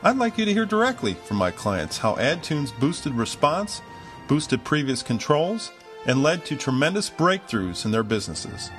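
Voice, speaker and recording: A man's voice: on the high side, big pitch swings, normal speed. A man reading a scripted sales narration in a persuasive, rhetorical way. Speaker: marketer narrating a promotional video. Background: background music.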